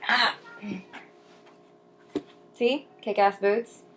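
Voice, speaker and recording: A young adult woman chatting to camera in a casual vlog, rambling with frequent ums and asides, and reading poetry slowly and deliberately. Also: fairly high pitch, steady tone, fairly bright tone, soft background music. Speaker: vlogger answering viewer questions.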